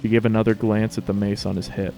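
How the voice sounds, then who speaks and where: A man's voice: lowish voice, little pitch movement, quick pace, mellow, faint music behind. A man narrating a story as a scripted dramatic reading.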